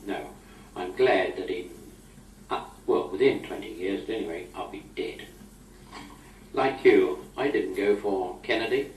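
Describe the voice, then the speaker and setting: A man's voice: low-pitched, unhurried. A middle-aged or older British man speaking in a chatty, wry, old-fashioned way, as if in an informal spoken letter to a friend. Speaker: amateur correspondent on a taped letter. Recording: old amateur tape, roomy, distant, noisy.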